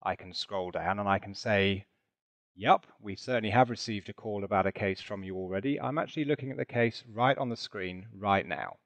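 Low-voiced man presenting a chatty demo. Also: normal speed, dry recording. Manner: chatty, informal, rhetorical asides. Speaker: presenter of a software demonstration. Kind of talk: conversational product demo narration with role-play.

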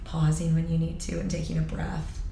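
A woman in her thirties, a fitness coach, chatting casually in an interview with a hoarse, loud, projecting voice and upbeat energy. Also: sits low, moderate pace, reverberant, slight background noise. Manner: energetic, upbeat, many fillers. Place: bright studio room with sofa.

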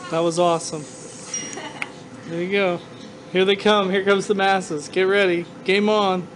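A man's voice: sits high, little pitch movement, a little slow, noisy background. A man hosting a casual chat show, talking off the cuff. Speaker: host.

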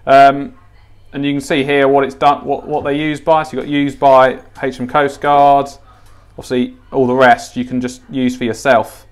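A young British man in his twenties talks through gear in a casual, informal unboxing style. His voice sits at a medium pitch, and he explains things in a chatty, matter-of-fact way. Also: flat delivery, normal speed, clear, resonant tone. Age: 20s. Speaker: fishing channel host demonstrating gear. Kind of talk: casual product unboxing and tutorial. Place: home kitchen, indoors.

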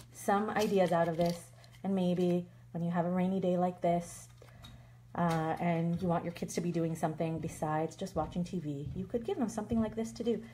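A woman's voice: fairly low pitch, warm tone, slight background noise. A woman chatting casually while she shows a craft for kids, filmed on a phone from overhead at an indoor table. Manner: chatty, thinking aloud with fillers. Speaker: craft video host. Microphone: phone filming from overhead.

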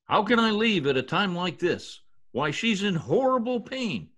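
A man's voice: high voice, sing-song, a little slow, fairly bright tone, full character voice. A man in his sixties playing a grandiose, melodramatic, blustering husband in an old-time radio comedy over a video call. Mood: alarmed, dramatic. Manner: theatrical, grandiose, overwrought. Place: home office, video call. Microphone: computer mic on video call.

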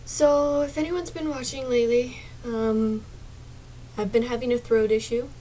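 A young woman talks slowly and flatly to her stream viewers, her voice a bit worn from a sore throat. She sometimes trails off into near-whispers. Faint hum of a small bedroom sits behind her webcam audio. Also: fairly high pitch, poor audio. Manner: soft-spoken, drifts into whispers and mumbles. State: sore throat, partly lost voice. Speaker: gaming streamer. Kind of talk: casual chat to viewers.

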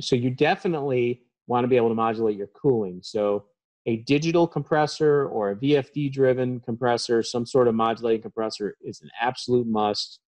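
A man's voice: mid-range voice, darkish tone. Brief: man in his thirties, trainer, plain explanatory delivery, webcam sound. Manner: explanatory, plain-spoken, frequent ums. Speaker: HVAC trainer. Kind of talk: technical presentation.

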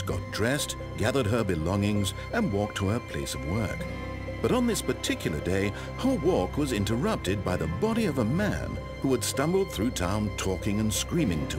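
A composed British game narrator, wry and all-knowing. The voice sits fairly low and swings very widely in pitch. The delivery is deliberate and articulate, like storybook narration, with prominent music behind it. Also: male voice.

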